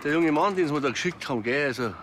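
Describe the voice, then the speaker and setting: An actor playing a plain, wistful amateur football club man with a Bavarian accent, halting and matter-of-fact, in a TV crime comedy. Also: fairly high pitch, lively intonation, fairly bright tone, a little hiss or hum. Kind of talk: naturalistic TV crime comedy dialogue.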